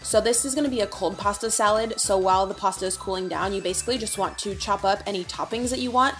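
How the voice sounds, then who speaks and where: A young woman talks through her day in a bright, chatty vlog voice, peppered with casual fillers and easy enthusiasm. Her pitch sits right in the middle. Soft music plays faintly underneath. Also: quick pace. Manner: chatty, upbeat, lots of "like" and "you guys". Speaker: lifestyle vlogger. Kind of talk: casual try-on and workout vlog narration.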